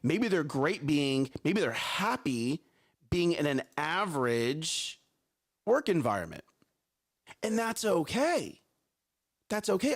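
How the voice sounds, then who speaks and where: Animated, upbeat man hosting a morning show. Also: fairly high pitch. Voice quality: strong, carrying voice. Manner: energetic, repeats words, frequent "right?" and "okay?". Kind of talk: live motivational talk show.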